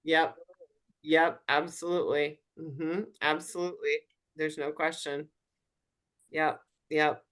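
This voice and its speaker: A woman's voice: low voice, fairly even intonation, slow, long pauses, a bit bright. A woman hosting a coaching group on a video call, affirming each speaker and recapping what they said. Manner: affirming, recaps what others say, lots of mm-hmm. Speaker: host and wellness coach.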